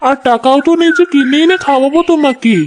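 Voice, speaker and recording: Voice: high-pitched, fast, background noise, close to an everyday voice. A performer voicing a poor, dutiful young village daughter in a cartoon story, earnest and deferential, over music. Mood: concerned. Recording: music behind the voice.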